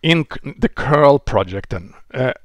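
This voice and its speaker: A man in his forties with a Swedish accent, giving a relaxed technical lecture over a headset mic, often restarting and filling with "uh" and "you know". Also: medium pitch, a little slow, dry recording. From Sweden. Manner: relaxed explaining, with frequent restarts and fillers. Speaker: presenter. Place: indoor room.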